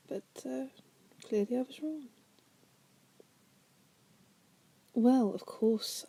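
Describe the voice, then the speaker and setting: A woman voicing a bored, manipulative female demon prisoner in an ASMR roleplay, softly teasing and coaxing the listener in a breathy voice that often drops to a whisper. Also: on the low side, exaggerated voice, rough recording. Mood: wry, intrigued. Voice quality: soft, breathy.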